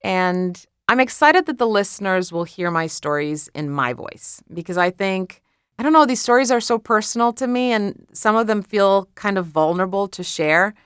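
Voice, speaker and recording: A woman's voice: medium pitch, lively intonation, moderate pace, smooth, no echo, clean studio sound. A woman from Croatia with a Croatian accent, an author chatting casually and laughing at her own slips while talking about recording her audiobook.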